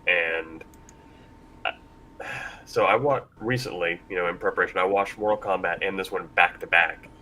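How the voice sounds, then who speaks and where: A man's voice: sits low, moderate pace, sharp tone, slight background noise, rough recording. A man hosting a casual panel discussion, talking informally before a live room. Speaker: panel host.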